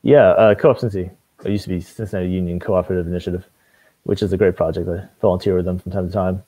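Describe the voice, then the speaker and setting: Low-voiced young man on a video call. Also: fast talker, no room sound, low-quality recording. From Maine. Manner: relaxed, hesitant with frequent fillers. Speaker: city council candidate. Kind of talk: casual video call interview. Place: home room with bookshelves. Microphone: video call webcam.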